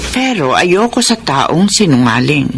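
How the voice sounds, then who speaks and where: A Filipino actress playing a kindly but firm married lady of the house, speaking with measured, big-sisterly authority in a radio drama. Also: sits low, very animated, a little hiss or hum, heavily acted. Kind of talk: Tagalog radio drama acting.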